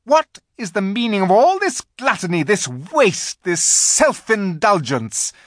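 A man reading a storybook aloud and voicing a cruel, imperious witch queen who barks harsh commands, raised far above his own pitch. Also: sing-song, measured pace, no echo, studio quality. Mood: imperious, furious. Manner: commanding, harsh, shrieking when enraged.

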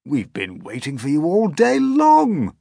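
A man's voice: fairly high pitch, wildly swooping pitch, unhurried, gravelly, warm tone, dry recording, clean studio sound, pitched above the performer's own voice, exaggerated voice. Old storybook grasshopper, courteous and fussy man performing. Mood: welcoming.

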